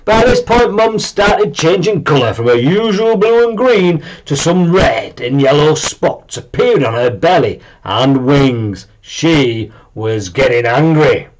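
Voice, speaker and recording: A man around his forties narrating a children's story in an animated, deliberate way. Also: on the high side, muffled recording, a little hiss or hum. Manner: expressive, deliberate. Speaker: storybook reader. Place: small room at home, indoors.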